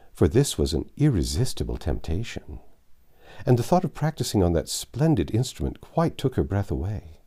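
A man in his sixties reading a classic novel aloud in a measured, expressive storybook style. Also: on the low side. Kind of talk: storybook read-aloud narration. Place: home library with bookshelves, indoors. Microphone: lapel mic.

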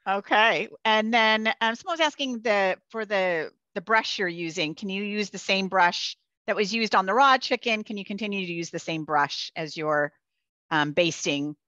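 A woman's voice: medium pitch, normal speed, bright, forceful tone, no echo. A middle-aged woman moderating a live online class, casually relaying questions over a headset on a video call. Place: home room, indoors. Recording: slightly muffled video-call headset sound.

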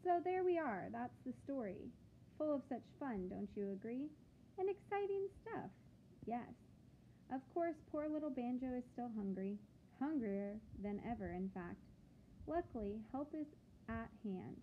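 A woman reading a picture book aloud to children like a teacher, with expressive, deliberate phrasing and questions to the listeners. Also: a little high. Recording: phone-like thin sound with background noise.

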